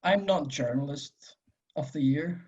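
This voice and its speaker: A man's voice: fairly high pitch, measured pace, a little mellow, husky, dull audio. A man playing an encouraging young journalist, insistent and coaxing, in an amateur script table read. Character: encouraging young male journalist, supportive drinking companion.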